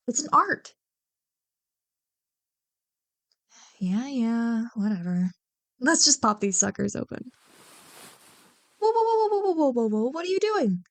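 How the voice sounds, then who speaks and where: A woman acts out a playful young woman chatting to her oldest friend in an ASMR roleplay. Her voice sits fairly high. She banters and teases with animated mock exasperation and a big love of Halloween. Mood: bossy, excited. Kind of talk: ASMR roleplay, one-sided scripted conversation.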